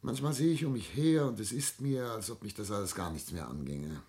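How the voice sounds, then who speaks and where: An older man acting in a German radio play as a cultured, melancholy widowed patriarch, speaking in deliberate, theatrical, literary phrases. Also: on the low side, a little mellow, close to an everyday voice.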